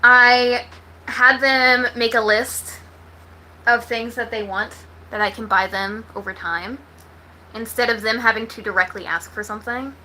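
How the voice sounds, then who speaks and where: A woman's voice: fairly high pitch, fairly even intonation, unhurried, sharp tone, a little hiss or hum, rough recording. A woman from Texas with an American accent, chatting casually to livestream viewers in a blunt, slangy, sweary way. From Texas, United States. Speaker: livestream host.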